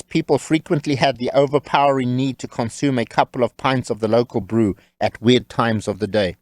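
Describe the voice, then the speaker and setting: A man's voice: medium pitch, darkish tone, no room sound. A man narrating a scripted comic tale as a wry, tongue-in-cheek storyteller.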